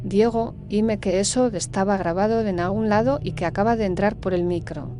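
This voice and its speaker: A woman's voice: medium pitch, moderate pace, natural voice. A woman playing a watchful, uneasy member of a ghost-hunting crew in a horror story. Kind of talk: horror audio story acting. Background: music.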